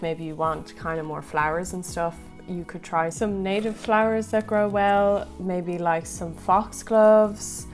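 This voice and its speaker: A young woman from Ireland with a slightly American-tinged accent, chatting casually and rambling through viewer questions. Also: mid-range voice. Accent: slightly American-tinged Irish. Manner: rambling, light laughs, filler-heavy. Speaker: plant vlogger. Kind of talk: chatty Q&A while repotting.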